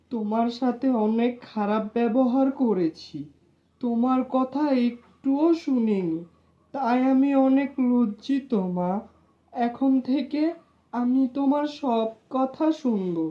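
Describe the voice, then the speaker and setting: A woman's voice: fairly high pitch, slow. A woman voicing a sulky, blunt young boy, a lazy brother in a children's cartoon. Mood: remorseful. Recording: dull lo-fi voice track.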